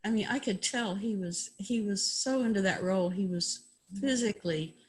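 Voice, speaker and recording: A woman with a slightly husky voice making casual remarks as a participant in a video-call discussion. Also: medium pitch, moderate pace.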